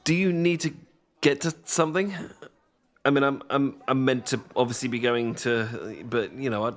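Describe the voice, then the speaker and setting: A man's voice: medium pitch, normal speed, close to an everyday voice. A man performs a young British student with a mid-range voice, secretly sweet on his best mate. He speaks in a hesitant, stumbling way, full of nervous self-deprecation. It plays as a casual, intimate ASMR audio roleplay.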